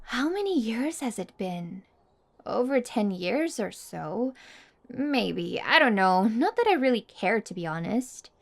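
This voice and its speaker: A woman playing a mean, smug, obsessive popular high school girl in an intimate ASMR roleplay, taunting and boastful. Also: big pitch swings, vocal fry, heavily acted. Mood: wistful, bitter. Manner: taunting, boastful, condescending, drawn-out teasing. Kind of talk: intimate ASMR roleplay monologue addressed to listener.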